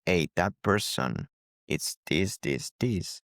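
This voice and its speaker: A man's voice: deep voice, lively intonation, slow, fairly bright tone, dry recording, clean studio sound. A man who is a non-native English speaker, giving a spiritual teaching talk as a channel host, repeating key phrases for emphasis. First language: not English.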